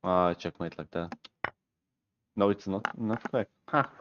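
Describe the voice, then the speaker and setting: A man's voice: low voice, dull audio, noisy background. Swiss German man musing over chess puzzles. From Switzerland. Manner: musing, halting, self-correcting, talks to himself. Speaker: chess streamer. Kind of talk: thinking aloud while solving chess puzzles, chatting with viewers. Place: small room at home, indoors. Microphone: headset.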